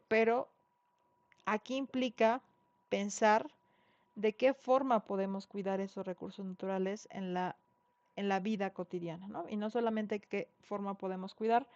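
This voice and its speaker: A woman's voice: mid-range voice, a little mellow, no room sound. A middle-aged woman from Mexico City with a Mexican Spanish accent, giving an online university lecture in a conversational way, full of fillers and tag questions. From Mexico City (Xochimilco). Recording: dull dry online class audio.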